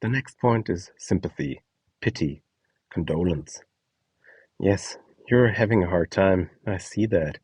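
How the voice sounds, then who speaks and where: Low-voiced, husky man narrating a guide. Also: lively intonation, a little slow, darkish tone, no echo, far from the mic. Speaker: instructor presenting a voice donation guide. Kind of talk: scripted narration with acted example lines.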